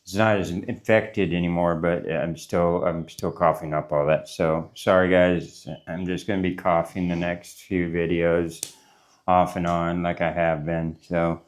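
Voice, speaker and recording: A man's voice: low-pitched, little pitch movement, measured pace. A man in his forties from the United States, congested from a cold, rambling casually through a morning travel vlog with many fillers and restarts, recorded on a lapel mic. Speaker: travel vlogger. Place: small courtyard room with a concrete wall, indoors. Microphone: clip-on lapel mic.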